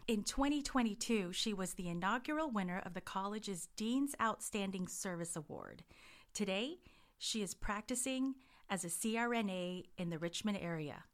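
A woman's voice: medium pitch, expressive, normal speed. A woman hosting a podcast interview, reading a scripted introduction and then asking questions conversationally. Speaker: podcast host.

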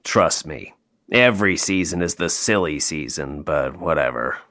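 An actor playing a jaded, sardonic male elf toymaker in an audio play, deadpan, dry and downbeat. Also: a bass voice, unhurried, exaggerated voice.

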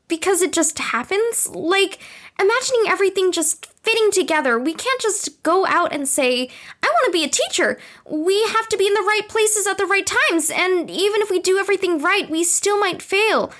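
Earnest, rambling girl voiced by a woman, audio drama, extremely high little voice, hesitant delivery. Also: fairly bright tone.

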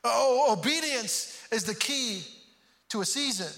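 A man's voice: very high voice, expressive, a little slow, pushed and bright. A man from Houston preaching a sermon, earnest and prone to repeating words as he starts a thought. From Houston, Texas. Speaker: pastor. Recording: long hall reverb.

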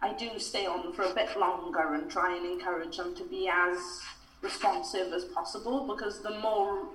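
A woman's voice: on the low side, fairly even intonation, moderate pace, a bit bright, distant mic. A young Welsh woman, an actor, talking casually in an interview, chatty and laughing often with lots of fillers. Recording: echoey room, voice from off mic.

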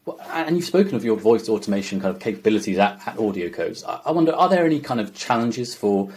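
Lowish-voiced guy interviewing, asks his question with a little stammer. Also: big pitch swings, a little mellow. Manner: gives short backchannel replies, then asks questions haltingly.

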